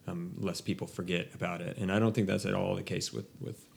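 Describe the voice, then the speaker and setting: Deep gravelly man, flat and rambling. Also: brisk, slight background noise. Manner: rambling, many restarts and fillers. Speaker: podcast co-host. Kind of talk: casual music chat.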